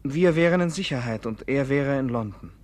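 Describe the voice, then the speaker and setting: An actor in a German radio play voicing a polite, conflicted English gentleman who speaks earnestly and hesitantly. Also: mid-range voice, expressive, moderate pace, close to an everyday voice. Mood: troubled, confessing. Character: conflicted English gentleman, secretly afraid, tenderly flirting.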